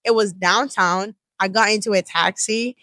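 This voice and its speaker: Bright-voiced young Nigerian woman. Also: mid-range voice, moderate pace, no room sound. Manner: chatty, many "like" fillers, animated retelling. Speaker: guest. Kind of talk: casual storytelling in conversation. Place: indoor room in New York.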